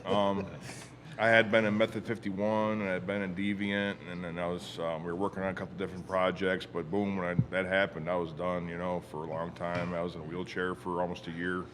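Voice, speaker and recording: Low-voiced man rambling, faint noise. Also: flat delivery, fairly quick, fairly bright tone. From Western New York, USA. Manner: rambling, frequent "you know". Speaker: metal musician guest. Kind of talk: casual interview chat.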